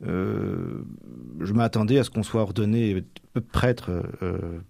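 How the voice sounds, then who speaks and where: A young man from Normandy, a deacon about to become a priest, answering radio interview questions with many pauses and restarts. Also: on the low side, vocal fry, warm tone, dry recording, clean studio sound. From Normandy, France. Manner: hesitant, many fillers and restarts. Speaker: deacon soon ordained priest.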